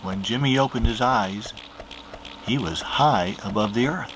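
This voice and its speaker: A man narrating a children's picture book aloud in an animated storytelling manner. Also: mid-range voice, measured pace, background noise.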